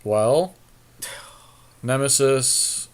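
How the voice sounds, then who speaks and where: A man's voice: medium pitch, very slow. A man talking casually as a podcast co-host, thinking aloud as he makes choices in a card game. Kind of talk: casual chat while drafting cards in a game.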